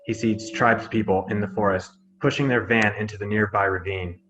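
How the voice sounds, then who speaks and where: A man's voice: on the low side, steady tone, a little fast, lo-fi sound, background noise. A man reading out scripted stage directions as narrator of a drama table read.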